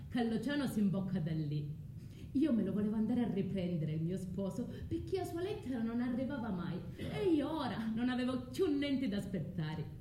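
An actress as an abandoned emigrant wife in a radio play, mellow in voice and bitterly yearning. Her southern Italian accent colours a halting, dramatic monologue that circles back on its words. The sound is roomy, with a faint rumble of noise behind it. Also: lightly acted. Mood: bitter, remembering.

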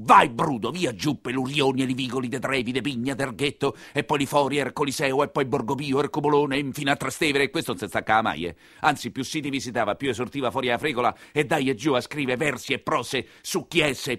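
An actor playing a wry, earthy nineteenth-century coachman who tells his story in colloquial Roman, in a grumbling, expressive stage monologue. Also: medium pitch, a little fast, few pauses, husky, no room sound, clean studio sound. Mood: grumbling, animated.

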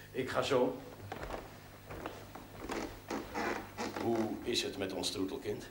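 An actor playing a teasing but authoritative parish priest in a television drama. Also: male voice, on the low side, a little slow. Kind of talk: naturalistic television drama dialogue. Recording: roomy, noisy old television soundtrack.

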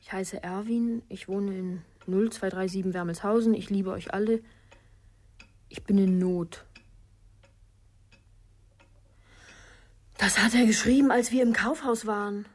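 A woman's voice: medium pitch, normal speed, natural voice. An actress playing a guarded, fearful young woman in a radio crime drama, speaking in short, reticent statements. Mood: subdued. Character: guarded, fearful young woman protecting her half-brother.

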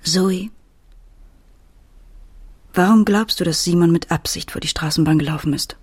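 A woman's voice: on the low side, moderate pace, a little mellow, slightly rough, dry recording. A middle-aged woman playing an anxious, tender mother, speaking in a subdued, thoughtful way in a radio drama. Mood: worried, subdued. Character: anxious, tender mother of an ailing teenage girl. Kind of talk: radio drama dialogue and narration.

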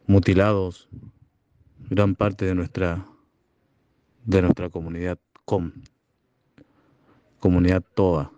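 A man from Chaco, a community broadcaster giving slow, halting, solemn testimony. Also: low voice, dark tone, no echo, lo-fi sound. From Chaco, Argentina. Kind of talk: recorded testimony.

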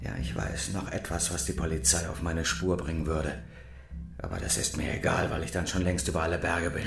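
A man's voice: low voice, a little fast, creaky, a bit bright. A man playing a resolute inside man on a heist in a radio crime drama, explaining things matter-of-factly. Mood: tense, resolute.

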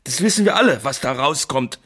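A man's voice: medium pitch, expressive, a little fast, pushed and bright, husky. An actor playing a bedridden, bitter, abusive sick man who grumbles and rants in a radio drama. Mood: sour, contemptuous. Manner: grumbling, cantankerous, ranting. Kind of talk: German radio drama acting.

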